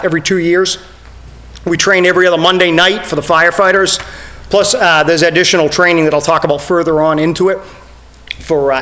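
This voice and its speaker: Middle-aged man presenting, voice wearing thin. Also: a little high. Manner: steady explanatory, many "uh" fillers. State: losing his voice. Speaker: fire chief presenting budget. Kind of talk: committee presentation. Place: town meeting room.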